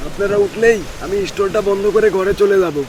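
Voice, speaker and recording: Cartoon tea seller played by a man: modest village uncle in his fifties, high thin pitch, rainy background. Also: moderate pace, natural voice. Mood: humble, polite. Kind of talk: horror cartoon dialogue. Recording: loud rain and water noise behind.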